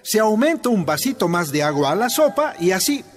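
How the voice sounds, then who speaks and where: Gentle fatherly figure, Bolivian Spanish, high and animated voice, reassuring tone. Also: a little fast, faint music behind. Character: kindly, gentle father of a grown daughter. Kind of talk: radio drama acting.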